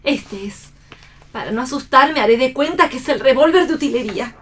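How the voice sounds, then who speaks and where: A woman's voice: high-pitched, lively intonation, brisk, fairly bright tone. A woman playing a weary, defiant, despairing stage actress in a radio play, speaking in a declamatory, theatrical way. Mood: resolute.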